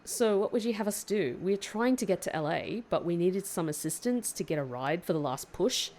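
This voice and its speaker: An actress playing a defiant, sharp-tongued hitchhiker in an audio drama, confrontational and sarcastic. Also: female voice, lowish voice, lively intonation, moderate pace, close to an everyday voice. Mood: questioning.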